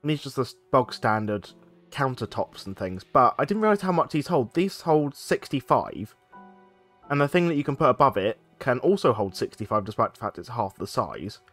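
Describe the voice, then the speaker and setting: A man's voice: mid-range voice, sing-song, normal speed, fairly bright tone, faint music behind. A man, a bit stuffed up from a cold, chatting casually as he plays a game. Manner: chatty, thinks aloud while playing. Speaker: let's play gaming host. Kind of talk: casual gameplay commentary.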